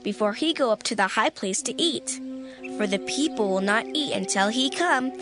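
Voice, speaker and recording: An actress playing an eager, helpful maiden in dramatized scripture. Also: female voice, fairly high pitch, expressive, moderate pace, natural voice. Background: background music.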